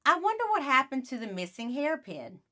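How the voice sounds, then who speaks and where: Woman voicing a stubborn young girl, lively and higher-pitched. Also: fairly quick, heavily acted. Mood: curious. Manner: emphatic, protesting.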